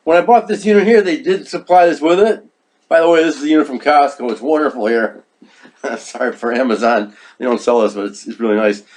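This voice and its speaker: A man's voice: medium pitch, a little fast, a little mellow. A man giving a casual instructional product demonstration, speaking in a repetitive, emphatic way and often checking in with the listener. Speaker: product reviewer.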